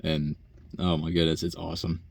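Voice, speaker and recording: Young guy, super deep buzzy voice, rambling and swearing a lot, lots of uh and sighs, dry close sound. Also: monotone, normal speed, gravelly, no echo. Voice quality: low buzzy voice. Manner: casual and profane, many fillers and sighs. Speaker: vlogger. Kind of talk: rambling personal monologue.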